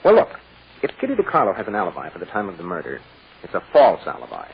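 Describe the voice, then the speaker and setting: A confident defense lawyer in an old radio drama. He speaks quickly in a lowish, lively voice, dry and teasing yet commanding. The recording is dull. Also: a little hiss or hum, exaggerated voice. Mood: urgent, commanding. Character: cool, wry, confident male defense lawyer. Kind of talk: 1940s radio drama acting.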